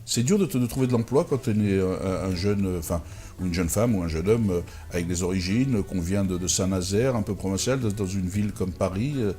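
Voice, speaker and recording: Halting man hosting an interview. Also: sits low, dark tone. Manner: halting, repeats words and fills with euh. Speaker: talk show host. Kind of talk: interviewing a guest.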